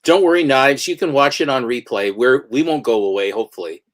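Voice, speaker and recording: A man co-hosting a casual livestream chat, reacting with quick, animated remarks. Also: medium pitch.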